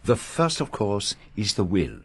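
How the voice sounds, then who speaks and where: An actor playing a fastidious Belgian detective who speaks formally, precisely and courteously in a British radio drama. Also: male voice, on the low side, wildly swooping pitch, slightly rough, heavily acted. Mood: methodical.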